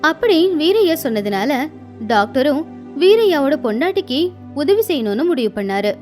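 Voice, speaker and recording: A woman's voice: high voice, very animated, moderate pace, darkish tone, faint music behind. A woman reading scripted storybook narration as the narrator of a tale.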